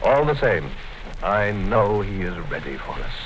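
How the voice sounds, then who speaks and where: A low-voiced, measured party boss, lively pitch, faint hiss of an old radio broadcast. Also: male voice, full character voice, rough recording. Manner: calm, measured, quietly menacing. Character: cold, commanding male party official. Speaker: actor. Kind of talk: 1950s radio drama acting.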